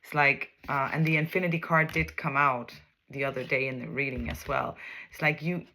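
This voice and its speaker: A woman's voice: extremely low pitch, fairly even intonation, normal speed, sharp tone, a little hiss or hum. A woman with a Danish accent, a native Danish speaker, giving a casual tarot reading in English. She rambles, searches for words and keeps checking in with "okay?". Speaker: tarot reader.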